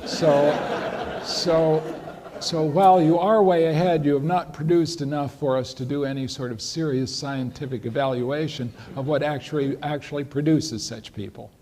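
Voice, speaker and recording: Man, lecturer, audience Q&A, measured and hesitant, dry wit, mellow warm voice. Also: on the high side, fairly even intonation. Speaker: lecturer answering audience questions. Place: lecture hall.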